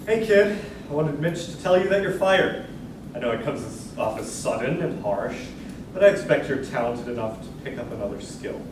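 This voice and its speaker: A man playing a flustered, bumbling mall manager in a staged reading, halting and awkward as he backtracks. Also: mid-range voice, expressive, natural voice. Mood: awkward, blunt. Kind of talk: low-key staged reading of a musical. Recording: distant, echoey live room, loud noise.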